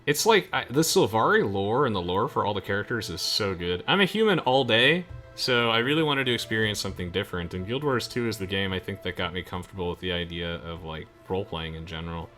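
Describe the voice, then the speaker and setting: A man's voice: lowish voice, lively intonation, fast, hardly any pauses, sharp tone. A young man hosting a let's play, chatting casually with friends as he comments on the game. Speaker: host of a let's play video. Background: game music.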